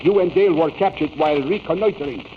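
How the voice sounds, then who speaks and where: An older scientist mentor from an old radio serial , acted by a man, with a high-pitched voice. He speaks formally and urgently, fatherly yet shrewd, over a tinny, phone-like recording full of noise. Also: fast, lightly acted. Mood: grave. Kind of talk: 1930s radio serial acting. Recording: tinny, noisy vintage radio broadcast.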